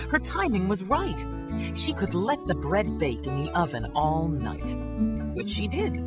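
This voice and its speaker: A woman narrating a rhyming children's story with an expressive, scripted delivery. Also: deep voice.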